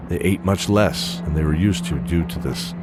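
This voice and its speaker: Loud music runs beneath a man with a low-pitched voice. He narrates a fantasy audio drama theatrically, phrasing deliberately and pausing for weight.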